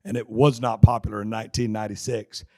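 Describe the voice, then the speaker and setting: Raspy man, middle-aged, hoarse, folksy conference speaker, handheld mic. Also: fairly low pitch, steady tone, fast talker, a little mellow. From North Carolina. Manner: folksy, joking, chatty. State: hoarse with laryngitis. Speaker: seminar speaker. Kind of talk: conference seminar talk. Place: conference hall.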